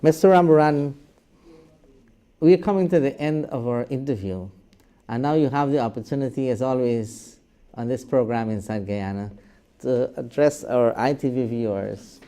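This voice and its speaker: Formal male TV host, mellow voice. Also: medium pitch, normal speed. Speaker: TV interview host. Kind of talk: formal broadcast interviewing. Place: TV studio.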